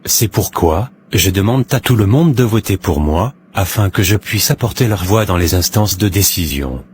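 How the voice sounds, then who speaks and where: An actor playing an earnest, self-righteous businessman running for parliament in an animated drama, speaking formally in speeches. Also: low voice, normal speed, slight background noise. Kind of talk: animated drama dialogue, political interview answers.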